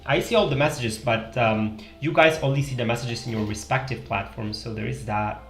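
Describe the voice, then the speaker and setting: A man's voice: medium pitch, brisk. A young adult man from Italy with an Italian accent, casually chatting to viewers on a live stream while he draws, thinking aloud with many fillers. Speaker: livestream host. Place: small room indoors. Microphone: headset. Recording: echoey room with faint music.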